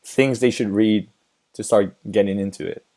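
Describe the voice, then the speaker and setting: A hoarse man with a raspy voice hosts a casual podcast, cheering his guest on with quick agreement. His voice sits on the low side. He comes from the Bay Area. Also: fairly even intonation, mellow. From San Francisco Bay Area. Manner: friendly, encouraging, quick to laugh and agree. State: hoarse, has lost his voice. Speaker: podcast host.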